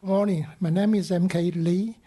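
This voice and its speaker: A man in his seventies introducing himself at a public meeting. He speaks haltingly, with fillers and a restarted word. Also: high-pitched, steady tone, mellow, husky. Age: 70s. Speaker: health committee chair.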